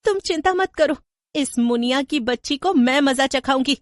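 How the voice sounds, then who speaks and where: A woman's voice: sits high, moderate pace, no room sound, clean studio sound, pitched above the performer's own voice, close to an everyday voice. A woman voicing a young daughter-in-law, urgent and dramatic, worried yet defiant, in a horror story.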